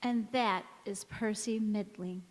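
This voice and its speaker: Actress as a slow, wistful mystery woman, echoing hall. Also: medium pitch, fairly even intonation, natural voice. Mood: wistful, polite. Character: mysterious ragged young woman, wistful, knowing, gently evasive. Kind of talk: stage radio drama acting. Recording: echoey hall with long reverb.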